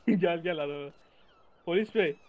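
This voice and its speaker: A man's voice: a little high, slow pace, dry recording, phone-quality sound. A man bantering casually as a player in an online roleplay game, blurting short, repeated phrases over game voice chat.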